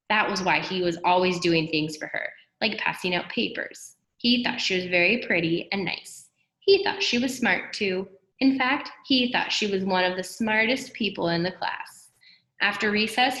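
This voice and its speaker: A woman reading a children's story aloud in an animated, expressive storyteller's way. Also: fairly low pitch. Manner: animated, acts out dialogue. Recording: echoey room.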